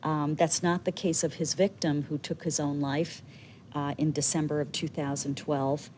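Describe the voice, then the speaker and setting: A woman's voice: low-pitched, little pitch movement, moderate pace, background noise. A woman activist speaking earnestly in an interview, hesitating often with fillers.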